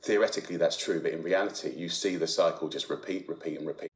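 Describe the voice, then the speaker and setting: A man talking informally as a commentator, halting and repeating words as he goes. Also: low-pitched. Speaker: speaker on food poverty. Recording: roomy, echoing sound.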